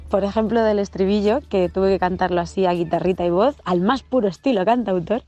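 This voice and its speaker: A singer-songwriter woman chats in a casual audio message recorded at home. Her voice is medium in pitch. She talks warmly, with frequent restarts. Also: fairly quick, muffled recording, soft background music. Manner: chatty, warm, with repeated restarts. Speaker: singer-songwriter and radio contributor.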